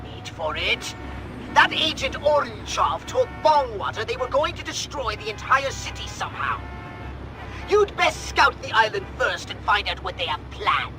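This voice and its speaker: A man's voice: extremely high pitch, big pitch swings, normal speed, heavily acted, rough recording. A man playing a fussy, imperious alien commander in a video game, pompous, precise and theatrical. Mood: briefing, superior. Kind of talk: video game cutscene acting. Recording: loud music behind the voice.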